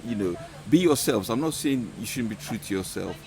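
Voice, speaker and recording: Male interview guest, lowish voice, thoughtful, restarts often, grew up in Ghana. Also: animated, a little fast, a little mellow. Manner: thoughtful, many restarts and fillers. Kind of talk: podcast interview.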